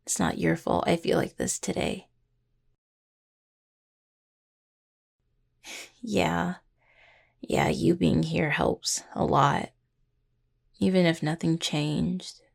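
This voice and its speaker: Creaky-voiced, halting young necromancer played by a woman. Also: fairly low pitch, steady tone, heavily acted. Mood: vulnerable, subdued. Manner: halting, stammering, apologetic. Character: grieving young female necromancer, tender, apologetic, affectionate partner. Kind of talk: intimate audio roleplay addressed to the listener.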